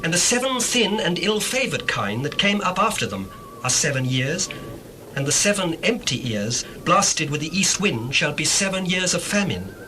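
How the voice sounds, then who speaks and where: An actor playing an earnest young Hebrew dream interpreter in a dramatized scripture reading, solemn and declamatory. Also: male voice, on the high side, very animated, moderate pace, pushed and bright, slight background noise, rough recording. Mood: earnest, prophetic.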